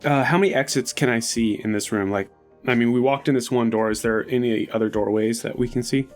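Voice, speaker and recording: A man's voice: mid-range voice, steady tone, a little fast, faint music behind. A man playing a tabletop game, casually thinking aloud and analysing the scene in a conversational way.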